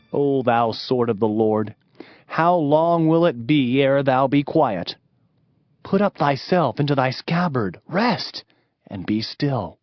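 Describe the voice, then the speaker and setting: A man narrates scripture in a solemn, declamatory dramatized style. His voice sits a little high and moves with very lively, sweeping intonation. The recording is slightly dull, with music clearly heard underneath. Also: no echo. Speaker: audiobook narrator.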